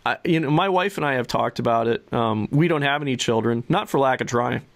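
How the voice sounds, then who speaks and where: A man's voice: medium pitch, fairly quick, vocal fry. A middle-aged man hosting a casual podcast, earnest and informal, repeating himself for emphasis. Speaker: podcast host. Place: small indoor studio with wood-panel wall. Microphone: desktop microphone close in front.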